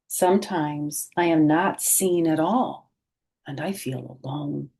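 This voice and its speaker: A middle-aged woman reading a picture book aloud to children, gently and expressively, pausing to explain things directly to her young listeners. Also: mid-range voice, breathy edge.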